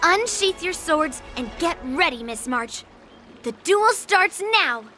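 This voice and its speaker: A poised young female mentor in an anime-style game. Her voice is high, with measured, formal phrasing and a gently encouraging manner. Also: lively intonation, faint music behind, full character voice. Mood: composed.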